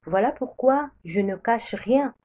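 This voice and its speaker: A woman's voice: fairly high pitch, expressive, slow pace, warm tone, lo-fi sound. A woman from the Democratic Republic of the Congo giving a prophetic religious testimony with solemn, repeated declarations. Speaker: preacher sharing a prophecy.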